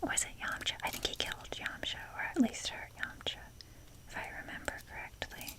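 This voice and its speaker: A woman doing casual whispered ASMR, rambling along in a self-deprecating, casually sweary way. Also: fairly quick. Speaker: ASMR video creator.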